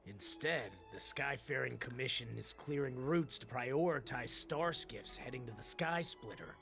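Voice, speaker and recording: A gravelly male fox-man pilot from a video game. He is curt and suspicious, speaking slowly at a middling pitch with gruff disinterest. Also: animated, music in the background. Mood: guarded.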